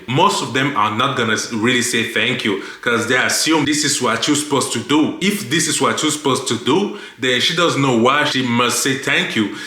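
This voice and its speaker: A man's voice: medium pitch, bright, forceful tone. A man from Cameroon, giving personal opinions to viewers in an explanatory way, repeating points for emphasis. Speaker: video host. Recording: echoey, reverberant room.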